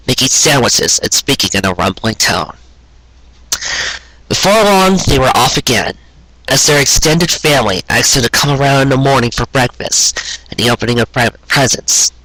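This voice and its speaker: A man reading a sentimental story aloud in a measured, scripted narrating manner. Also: medium pitch, pushed and bright, dry recording, rough recording. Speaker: audiobook reader of fan fiction.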